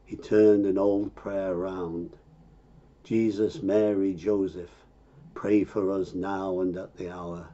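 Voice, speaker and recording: A man reading a poem aloud in a measured recitation style. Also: deep voice, warm tone, breathy edge. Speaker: poetry reader. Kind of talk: slow, measured poetry recitation. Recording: dull, lo-fi muffled recording.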